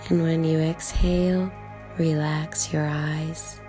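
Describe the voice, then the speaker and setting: Female meditation guide: lowish, soft voice, calm and unhurried, short phrases, gentle music underneath. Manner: calm, soothing, short phrases with long pauses. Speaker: meditation guide, life coach. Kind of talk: guided body scan meditation. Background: background music.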